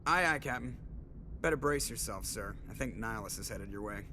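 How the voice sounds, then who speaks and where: A man's voice: lowish voice, animated, brisk, sharp tone, a little hiss or hum, heavily acted. An actor playing a sarcastic, cocky and suspicious male spaceship pilot in a video game cutscene. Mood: brisk report. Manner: sardonic, wisecracking.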